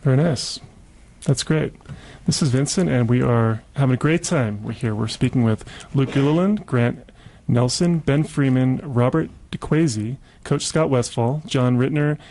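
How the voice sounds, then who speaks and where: A man's voice: medium pitch, moderate pace, darkish tone, rough recording. A man hosting a conversational radio interview, putting questions to his guests.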